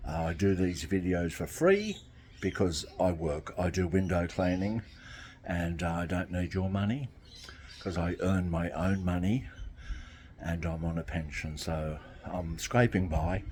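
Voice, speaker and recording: A man's voice: sits low, a little slow, a little mellow, a little hiss or hum. A man, talking casually to camera from outdoors by a garden, rambling and repeating himself. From Australia. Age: 60s. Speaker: vlogger.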